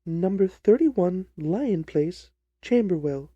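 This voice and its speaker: A man's voice: high-pitched, animated, slow, mellow, no room sound, highs cut off, full character voice. A man voicing an earnest, trusting, distressed young jilted bride in a dramatised story reading. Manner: earnest, wordy, pleading.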